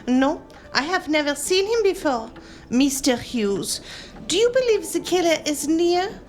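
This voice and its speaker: A woman's voice: high-pitched, big pitch swings, measured pace. An actress playing a genteel, nervous lady hostess in a radio play, prim and anxious.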